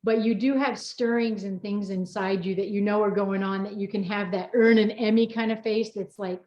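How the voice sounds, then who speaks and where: A woman's voice: medium pitch, steady tone, moderate pace, a little mellow. A middle-aged woman hosting an unrehearsed, casual chat in a chatty, informal, rambling way. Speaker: co-author hosting a personal chat. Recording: roomy, dull lo-fi sound.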